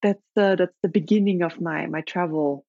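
A woman's voice: lowish voice, fairly even intonation, normal speed, a little mellow, dry recording, dull audio. A woman in her thirties from Austria with an Austrian accent, chatting thoughtfully as a podcast guest with many restarts and fillers. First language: German. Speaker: podcast guest, travel blogger. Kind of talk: casual interview chat.

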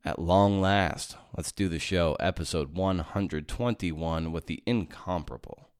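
A man's voice: sits low, unhurried, no room sound, studio quality. A man hosting a podcast, chatty and conversational, with fillers and restarts. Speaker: podcast host. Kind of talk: casual interview podcast.

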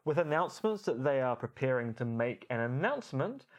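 A man's voice: medium pitch, very animated, a little fast. A man co-hosting a podcast, giving commentary in a wry, articulate way with rather formal phrasing. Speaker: podcast co-host.

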